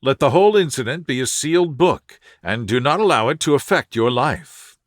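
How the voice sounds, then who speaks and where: A man's voice: mid-range voice, animated, normal speed, dry recording, studio quality, close to an everyday voice. A man voicing a composed, authoritative male detective with a precise, assured, lecturing manner in an audiobook. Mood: businesslike. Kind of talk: audiobook character dialogue.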